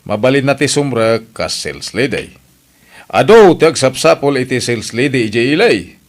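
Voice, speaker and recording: A man's voice: medium pitch, animated, normal speed, lightly acted. A man with an Ilocano accent playing a stern, impatient, nagging head of a household in a radio drama, with a blunt scolding manner. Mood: dismissive.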